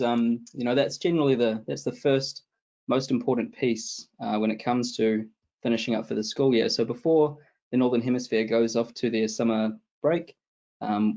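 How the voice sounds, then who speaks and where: A man in his thirties with a New Zealand accent, calmly explaining setup steps on a video call. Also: mid-range voice. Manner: steady, explanatory, starts answers with "absolutely". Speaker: support consultant, guest. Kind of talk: webinar explanation. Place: home room with bookshelf, indoors. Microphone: video call, laptop mic. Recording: dull lo-fi video call audio.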